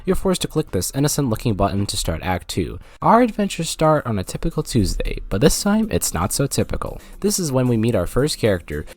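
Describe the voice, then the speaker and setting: A man's voice: mid-range voice, huge pitch swings, brisk, few pauses. A man narrating a scripted, jokey video game review with sarcastic, playful asides.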